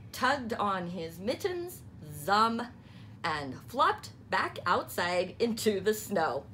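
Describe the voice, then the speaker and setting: A woman reading a children's story aloud, deliberately, with playful emphasis on the sound words. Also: mid-range voice, pushed and bright, a little hiss or hum. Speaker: storybook reader. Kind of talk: children's read-aloud narration.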